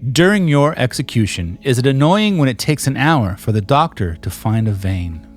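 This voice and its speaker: A man hosts a loose, joking conversation with his elderly mother, laughing often and slipping into goofy voices. Faint music runs quietly behind him. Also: medium pitch, big pitch swings, moderate pace. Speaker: host interviewing his mother. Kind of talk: casual chat.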